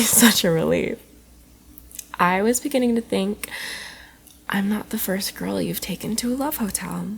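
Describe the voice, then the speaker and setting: Woman acting a slow, creaky-voiced tipsy college girl. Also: medium pitch, full character voice. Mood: teasing. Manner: rambling, giggly, tipsy teasing, drifting into whispers. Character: tipsy playful college girl cosplayer, teasing and affectionate. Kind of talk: ASMR roleplay, intimate casual acting.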